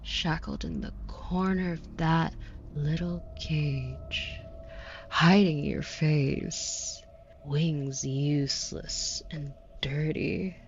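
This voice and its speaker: A woman's voice: deep voice, fairly even intonation, extremely slow, lo-fi sound, a little hiss or hum, exaggerated voice. A woman playing a haughty, predatory demon buyer in an intimate audio roleplay, taunting with condescending, mock-sweet cruelty.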